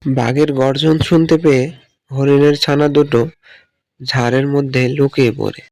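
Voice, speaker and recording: A man's voice: medium pitch, flat delivery, measured pace, a little mellow, no room sound. A man narrating a scripted children's animal story as the storyteller.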